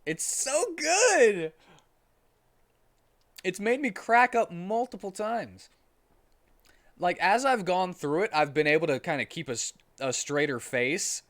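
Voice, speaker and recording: A man streaming a comic dramatic reading with casual commentary, sounding amused and animated. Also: high voice, slow pace, pauses often.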